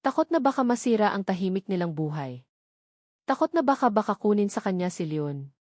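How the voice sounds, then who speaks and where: A woman's voice: mid-range voice, big pitch swings, a little fast, darkish tone, no echo. Female storyteller, Filipino accent, mid-range voice, emotive scripted drama narration, suspenseful phrasing, very dry recording.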